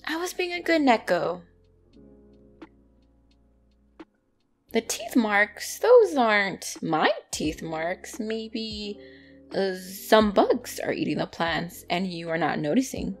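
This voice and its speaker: A woman's voice: mid-range voice, sing-song, slow, faint music behind, heavily acted. A woman playing a bratty, easily flustered young tsundere catgirl in an ASMR roleplay, speaking indignantly and defensively to the listener.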